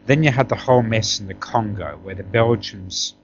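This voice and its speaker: A man's voice: fairly low pitch, moderate pace, dull audio, music in the background. A man from Tanzania, middle-aged, talking conversationally as an interview guest, emphatic and often checking for agreement as he reminisces.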